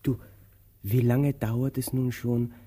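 Dark, husky, gloomy old tramp. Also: male voice, medium pitch, level tone, slow pace. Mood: brooding. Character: gloomy old male vagrant, stubborn, resigned, brooding. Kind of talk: German-language radio drama acting.

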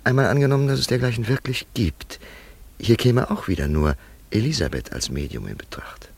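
A man playing a sceptical, educated investigator in a literary radio play, formal and deliberate as he probes a mystery. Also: medium pitch, very animated, vocal fry, a little mellow, breathy edge, heavily acted. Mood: sceptical, pondering.

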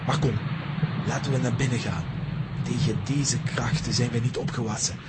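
An actor playing a gravely wise head lama of a mountain monastery, telling things in a solemn, formal, measured way for a children's audio drama. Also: male voice, medium pitch, fairly even intonation, heavy background noise, lightly acted. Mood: troubled. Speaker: character telling a mountain legend. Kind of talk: Dutch-language children's audio drama acting. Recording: long reverberant hall with music behind.

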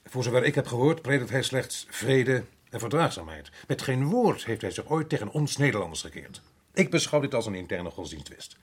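An actor playing a stern, principled Dutch colonial official in a radio drama, formal and probing, with a husky voice. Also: male voice, medium pitch, sing-song, fairly quick. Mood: firm, challenging.

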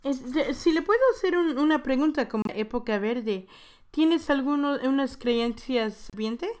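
A woman's voice: sits high, unhurried, a little mellow. A woman hosting a casual radio interview, hesitant and fumbling a little as she phrases things. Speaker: radio host.

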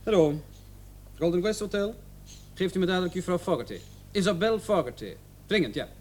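A man's voice: lively intonation, fairly quick, a little mellow. An actor playing a brusque, suspicious male police officer in a radio drama, firing curt questions and commands. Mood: curt, businesslike. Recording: noisy old radio drama recording, rumble.

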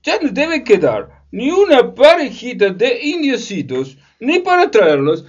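A performer plays a scheming English gentleman full of scorn in a comic radio drama. The voice whines and swoops theatrically at a slow pace, on a slightly muffled recording. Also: mid-range voice, huge pitch swings. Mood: scornful. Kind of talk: comic community radio play acting.

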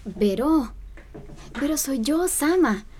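A loyal young Costa Rican friend, coaxing and earnest. Her voice is distinctly high, in the manner of a radio drama. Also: animated, slow pace, darkish tone, slight background noise. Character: gentle, persuasive young woman, a loyal friend.

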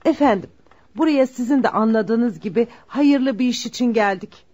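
An actress plays a widowed mother on a bride-asking visit in a radio drama. Her voice is fairly high and a little rough, with ceremonious politeness. The recording is muffled and lo-fi. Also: normal speed, darkish tone, close to an everyday voice. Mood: courteous, ceremonious. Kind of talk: Turkish radio theater acting.

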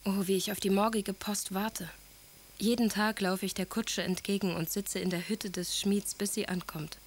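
An actress playing an earnest, ardent young aristocratic bride-to-be, speaking letters solemnly and formally in a German radio play. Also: female voice, medium pitch, steady tone, moderate pace, natural voice. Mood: composed, expectant.

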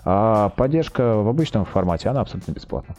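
A man explaining products as a guest in a podcast interview, speaking haltingly with drawn-out hesitations. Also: on the low side, animated, mellow, faint music behind. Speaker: guest, telecom equipment maker's project manager.

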